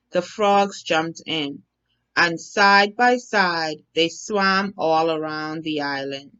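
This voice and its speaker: Woman storyteller, children's bedtime narration, unhurried, deliberate, expressive, slightly muffled lo-fi recording. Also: fairly low pitch. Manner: measured, deliberate, expressive reading. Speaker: storyteller, teacher.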